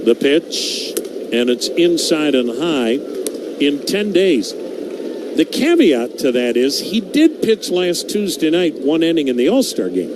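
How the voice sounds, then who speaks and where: A man's voice: fairly high pitch, slow, noisy background. Man calling baseball on radio. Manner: steady, conversational play-by-play with stats and stories. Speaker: radio play-by-play announcer.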